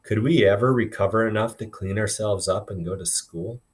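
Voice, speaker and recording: A man's voice: on the low side, moderate pace. A man reading a story aloud as a teacher, with informal, instructive explanations along the way. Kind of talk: expressive read-aloud narration with explanatory asides.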